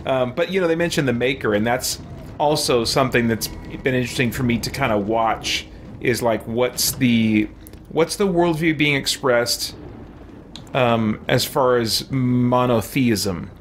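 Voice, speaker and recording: A man in his forties chats as a game streamer. His voice is medium in pitch, and he muses haltingly and casually. Faint game ambience sits behind him. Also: a little hiss or hum. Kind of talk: casual live gameplay commentary. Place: small room lined with shelves, indoors.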